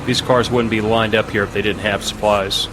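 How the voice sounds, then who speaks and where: An actor playing a firm mercenary leader who runs a supply caravan in an audio drama. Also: male voice, fairly low pitch, level tone, moderate pace, noisy background, natural voice. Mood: wry, wary.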